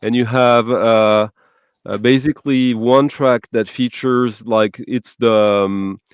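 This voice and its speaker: Host guy, english not his first language, smooth clear voice, dry sound, gets excited and hunts for words. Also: mid-range voice, slow, no room sound. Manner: enthusiastic, searching for words. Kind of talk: casual music chat.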